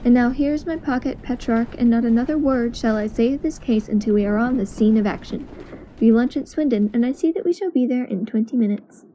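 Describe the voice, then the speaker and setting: A woman playing a brisk, self-assured male detective in an amateur audio drama, speaking formally and analytically. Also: on the high side, warm tone, highs cut off, slight background noise, natural voice.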